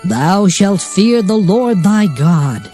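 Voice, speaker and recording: A solemn old testament prophet in a bible audio drama, slow declamatory delivery, music underneath. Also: male voice, high-pitched, lively intonation, dark tone. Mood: earnest, exhorting. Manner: solemn, declamatory, measured phrasing. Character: Moses, solemn male prophet exhorting his people. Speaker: scripture narrator. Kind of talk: dramatized Bible reading in archaic English.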